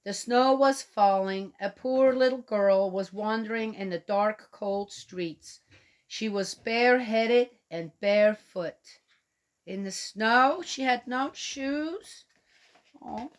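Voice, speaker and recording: A woman from Switzerland chatting gently to young children and reading them a picture book aloud. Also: fairly high pitch, takes it slowly. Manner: gentle, chatty, talks directly to young listeners. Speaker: children's storyteller.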